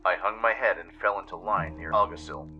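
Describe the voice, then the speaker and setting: A man's voice: low-pitched, fairly quick, highs cut off, soft background music. A man giving dramatic scripted narration of a story, as the host of a radio-style show.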